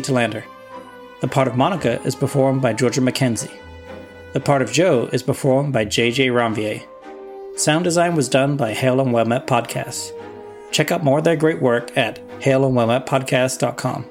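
Male podcast host for scripted outro and credits, mid-range voice, music bed behind. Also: mid-range voice. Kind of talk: scripted promo and credits narration.

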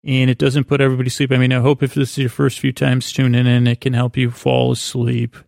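A man with a tired, gravelly creak hosts a bedtime podcast. He meanders gently in an even, subdued line, hesitating and doubling back with self-deprecating asides. The sound is close and dry. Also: mid-range voice, quick pace, no room sound. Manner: gentle, soothing, aimed at putting listeners to sleep. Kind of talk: rambling bedtime monologue.

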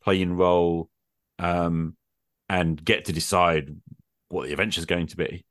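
A middle-aged man with a very low voice talks casually and at length. He thinks aloud, feeling his way through each point in a reflective, hesitant manner. The sound is dry, with little room on it. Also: steady tone, measured pace, a bit bright, no echo. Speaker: podcast host. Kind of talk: extended casual conversation about role-playing games.

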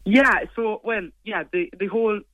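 A young Irish woman with a low voice, chatting quickly as a singer guest in a casual interview. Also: a bit bright, no room sound. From Ireland. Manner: quick, chatty, lots of "like" and "you know".

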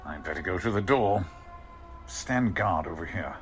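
An actor playing a curt, wary gang boss in a 1940s-style radio crime drama. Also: male voice, deep voice, lively intonation, moderate pace. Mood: alert, urgent. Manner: curt, commanding. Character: male gang boss, commanding, wary crook.

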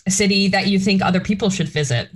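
A woman hosts a relaxed interview, moving briskly through rapid-fire questions and reacting in a friendly way. Her voice sits in an ordinary middle range. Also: mid-range voice. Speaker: host, interviewer. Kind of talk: casual interview chat.

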